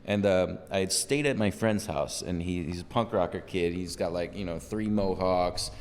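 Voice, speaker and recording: A man's voice: sits low, normal speed, long reverb tail, slight background noise. A man in his thirties, a podcast guest, talking in a goofy, laid-back, joking way into a handheld mic. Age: 30s. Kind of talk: casual chat. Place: room with dark backdrop, seated in a folding chair.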